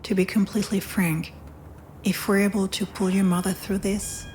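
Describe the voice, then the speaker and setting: An actress playing a frank hospital doctor in a video game, measured and clinical in delivery. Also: female voice, medium pitch, flat delivery, a little mellow, a little hiss or hum, natural voice. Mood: grave, frank. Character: composed, frank female hospital doctor breaking bad news. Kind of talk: naturalistic video game acting.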